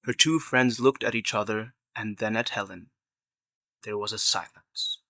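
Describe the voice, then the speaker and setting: Bright, forceful male story narrator. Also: on the low side, measured pace, dry recording. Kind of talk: scripted radio drama narration.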